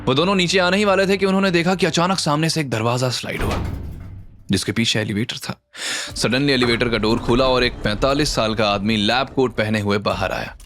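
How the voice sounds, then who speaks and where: A man's voice: medium pitch, steady tone, a little fast, fairly bright tone, slight background noise. A man narrating a scripted story in a dramatic audio series style. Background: background music.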